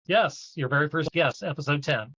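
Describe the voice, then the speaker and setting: A man's voice: a little high, moderate pace, pushed and bright, husky, dry recording, lo-fi sound. A man hosting a live online event and introducing guests as a support group coach.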